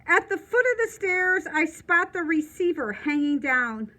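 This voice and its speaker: A woman with a high voice reads a story aloud as a teacher would. She is expressive in the narration and slips into plain explanatory asides for young listeners. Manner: expressive, pausing to explain to children.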